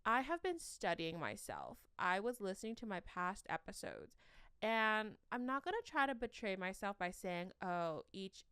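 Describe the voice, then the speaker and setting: A young woman hosting a casual solo podcast from the corner of her bedroom, with a shrill voice, rambling and self-mocking between fillers, sleepy. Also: very animated, normal speed, fairly bright tone, smooth, no echo, studio quality. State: sleep-deprived. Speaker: podcast host.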